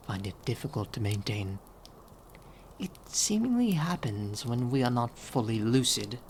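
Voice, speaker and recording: A man voicing a regal, gentle owl demon prince in an ASMR audio roleplay, speaking softly and theatrically and slipping into whispers. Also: lowish voice, a little hiss or hum. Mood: teasing, grand. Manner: soft-spoken, theatrical, drifting into whispers. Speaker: voice actor in fan audio roleplay.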